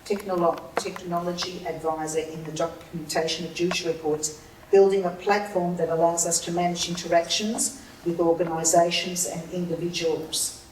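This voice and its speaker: A woman's voice: on the low side, fairly even intonation, a little fast, darkish tone. A woman hosting an event, reading a formal introduction of the speaker and stumbling slightly over her words. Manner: reads from notes, stumbles on a word. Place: lecture room. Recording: echoey hall, distant mic, faint noise.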